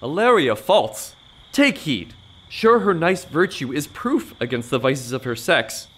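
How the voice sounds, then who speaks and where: A man playing an excitable, easily offended young gallant in a period audio farce, florid and exclamatory. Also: on the high side, unhurried, exaggerated voice. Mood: surprised disbelief.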